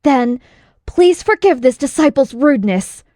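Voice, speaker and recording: Woman playing a humble young male disciple, raised pitch. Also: expressive, a little slow, mellow, dry recording, studio quality. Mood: hesitant, polite. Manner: reverent, formal, humble. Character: deferential young male martial arts disciple, twenties.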